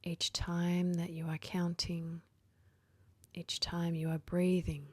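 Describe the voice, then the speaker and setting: A woman guides a sleep meditation in a lowish voice that is very breathy and close to a whisper. She speaks very slowly and gently, letting each phrase settle before the next. The sound is dry and intimate, with no room around it. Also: completely flat delivery, no echo. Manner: gentle, unhurried, with long pauses between phrases.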